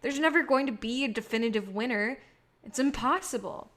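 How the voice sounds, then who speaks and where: Female, high voice. An actress playing an idealistic, protective filmmaker burdened by guilt; earnest, pleading stage drama. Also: brisk, a bit bright, close to an everyday voice. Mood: anguished, resolute. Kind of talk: staged reading of a drama play.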